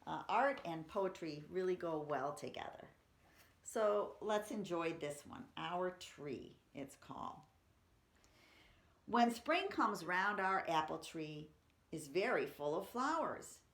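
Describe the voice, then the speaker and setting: Woman, slow pace, poetry reader for children, expressive reading, simple explanations, roomy echo. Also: fairly low pitch. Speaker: library story-time reader. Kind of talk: poetry reading for children, chatting between poems. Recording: roomy, echoey room sound.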